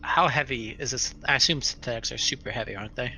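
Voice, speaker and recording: A man's voice: medium pitch, quick pace, bright, forceful tone, low-quality recording. A man playing a tabletop roleplaying game, talking casually and tentatively as he reasons out his moves aloud. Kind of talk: casual in-game talk, speaking as his character. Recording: noticeable background noise.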